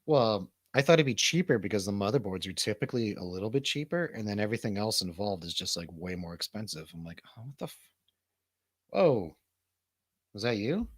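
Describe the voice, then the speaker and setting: A man's voice: on the low side, very animated, brisk, dry recording, clean studio sound. A man hosting a casual livestream chat, laid-back, slangy and rambling. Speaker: host of a livestream show.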